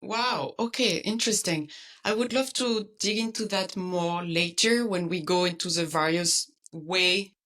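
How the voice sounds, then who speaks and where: A woman hosting an interview podcast over a video call, friendly and quick to laugh. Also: lowish voice, a bit bright. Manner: friendly, laughs often, light fillers.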